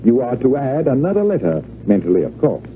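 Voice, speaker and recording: An actor playing a confident, unruffled, slightly smug stage magician in an old radio drama. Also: male voice, mid-range voice, huge pitch swings, brisk. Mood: smug, teasing. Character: confident, cool-headed male stage magician. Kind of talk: 1940s radio drama acting. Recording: tinny, narrow, noisy old radio recording.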